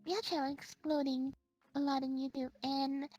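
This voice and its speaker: A streamer guest with a Singaporean English accent, chatting excitedly and giggling through rambling stories in a casual interview. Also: high-pitched, normal speed, faint music behind.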